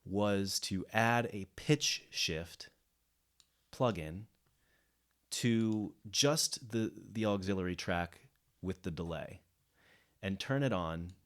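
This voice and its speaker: A man giving an unscripted software tutorial as a music producer, thinking aloud and rephrasing as he goes. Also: low-pitched. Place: indoors, voice-over of a screen recording.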